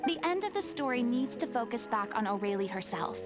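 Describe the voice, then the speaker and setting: A woman voicing a theatrical, commanding young woman investigator in a video game, speaking in an articulate, deliberate way. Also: on the high side, sing-song. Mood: assured, commanding. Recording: game dialogue with music behind.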